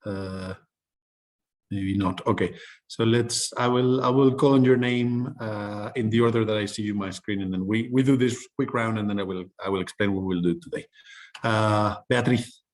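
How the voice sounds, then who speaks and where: A man's voice: on the low side, moderate pace. A man teaching an online class, speaking informally and directly as he guides his students. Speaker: course instructor.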